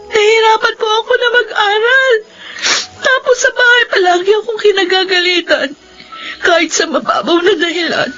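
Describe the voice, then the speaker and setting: A woman's voice: very high voice, moderate pace, bright, forceful tone. An actress playing an earnest, wounded but forgiving young woman, with emotive delivery in a Filipino radio drama. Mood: tearful, hurt. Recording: dull radio broadcast over music.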